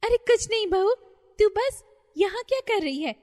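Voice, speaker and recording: A woman's voice: lively intonation, moderate pace, darkish tone, in a large hall. A woman voicing a fretful older mother-in-law who panics easily, in a cartoon story. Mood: panicked. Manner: shrill, panicky.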